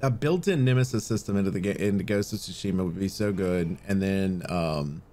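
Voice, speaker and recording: A man's voice: deep voice, brisk, mellow. A man chatting casually and jokingly about video games as an easygoing podcast co-host.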